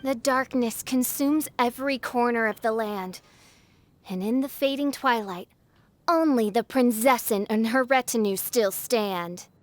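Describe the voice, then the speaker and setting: Actress playing grandiose young princess, high-pitched, melodramatic, archaic phrasing, anime video game. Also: female voice, unhurried, natural voice. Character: theatrical teenage girl posing as grandiose princess. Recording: music behind the voice.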